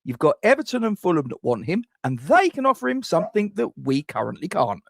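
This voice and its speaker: A man's voice: high-pitched, huge pitch swings, brisk, gravelly, dry recording, studio quality. A middle-aged man hosts a football fan channel, giving scripted transfer rumour commentary with wry, self-mocking jokes.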